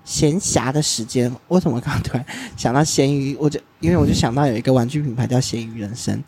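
A man's voice: medium pitch, fast talker, background noise. A man hosting an interview podcast, chatting casually and giving long, talkative explanations. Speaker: podcast host.

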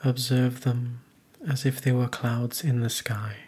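A man leading a guided meditation, speaking gently in measured phrases with long pauses. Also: medium pitch, little pitch movement, breathy edge. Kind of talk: guided meditation, soothing instruction.

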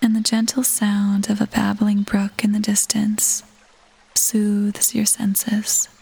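A woman narrating a scripted guided meditation in a soft, breathy near-whisper, soothing and gentle, pausing between phrases. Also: medium pitch, monotone. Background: soft new-age music.

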